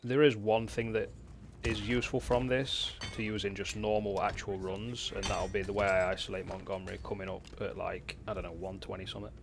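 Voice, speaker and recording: A man's voice: lowish voice, level tone, brisk, vocal fry, noisy background. A man giving casual, matter-of-fact commentary for a video game guide in an informal way. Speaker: gamer presenting a guide.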